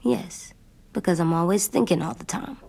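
A woman playing an anxious, stubborn young boy in a dramatic video game, speaking earnestly in halting, childlike phrases. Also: lowish voice, lively intonation, gravelly, darkish tone, slightly rough, heavily acted. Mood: uneasy.

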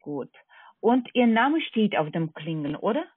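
A woman's voice: mid-range voice, very animated, unhurried, breathy edge, no echo. A woman with a foreign accent and non-native German, working as a call centre agent, hesitantly checking and repeating order details over a phone line. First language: not German. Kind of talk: phone sales conversation. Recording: tinny narrowband phone line.

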